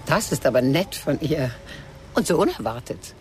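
A woman's voice: low-pitched, very animated, normal speed, background noise. An actress playing a shrewd middle-aged mother in a radio crime drama, chatty and probing with a sardonic edge.

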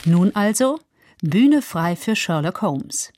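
A woman's voice: on the low side, big pitch swings, takes it slowly, darkish tone, a little hiss or hum. A woman radio presenter giving a scripted introduction in an articulate, composed way.

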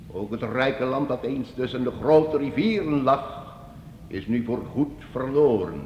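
An actor playing a grandiloquent wandering minstrel in a medieval radio drama, declaiming with flowery deference. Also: male voice, mid-range voice, a little mellow, highs cut off. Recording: echoey, noisy old radio play recording.

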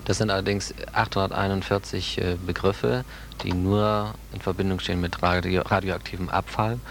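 Low male voice, matter-of-fact, explanatory conversation, clear background noise. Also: fairly even intonation, normal speed. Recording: clearly noisy room ambience.

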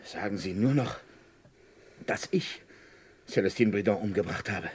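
An actor playing a composed, courteous village notary under suspicion in a radio play, speaking politely and deliberately with a husky voice. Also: male voice, lowish voice, darkish tone. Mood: indignant, dismissive. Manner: polite, deliberate, halting explanations.

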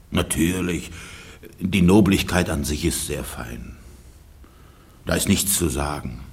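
An actor playing a rich, self-mocking peasant husband in a German radio play, declaiming bitterly in a gravelly, husky voice. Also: male voice, low-pitched, full character voice. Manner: declamatory, swinging from outbursts to muttering.

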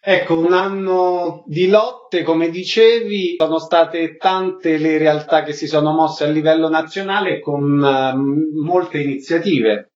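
Higher-voiced man in an echoing room. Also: steady tone, slow pace, hardly any pauses. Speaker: radio host, interviewer. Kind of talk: radio interview questions. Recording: dull, roomy, echoing sound.

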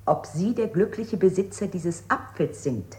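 A woman's voice: mid-range voice, expressive, unhurried, a little mellow, natural voice. An actress playing a formally polite, persistent woman questioner in a radio play, insistently repeating herself. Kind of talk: avant-garde radio play acting.

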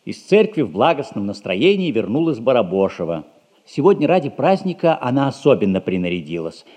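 A man with a soft voice giving scripted narration of scenes in a stage play. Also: on the low side, breathy edge.

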